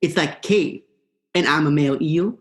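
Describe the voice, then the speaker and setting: A woman's voice: low-pitched, normal speed, lightly acted. A young woman in a student radio play, playing a laid-back, vengeful male eel with a casual, slangy drawl. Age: young adult.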